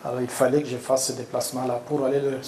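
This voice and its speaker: A middle-aged man from Congo, a parish priest with a Congolese French accent, whose first language is Tshiluba, explaining things slowly with many hesitations in an interview. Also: medium pitch, little pitch movement, reverberant, noisy background. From Democratic Republic of the Congo.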